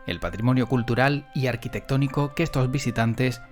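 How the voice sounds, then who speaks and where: A man's voice: medium pitch, brisk, soft background music. A man presenting and interviewing as a podcast host. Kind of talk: scripted intro narration and interviewing.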